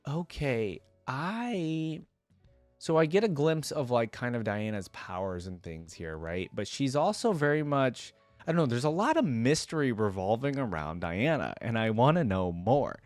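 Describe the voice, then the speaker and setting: A man's voice: mid-range voice, sing-song, unhurried, no room sound, soft background music. A man hosting a reaction, moving between casual, conversational commentary and reading a story aloud. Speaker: reaction host and reader.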